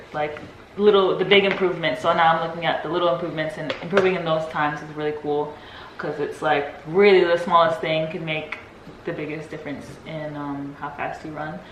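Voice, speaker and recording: A young woman with a lowish voice chats about her goals in an easygoing, giggly way. The sound is roomy and echoey, with faint noise in the background. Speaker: guest athlete. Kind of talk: casual Q&A chat.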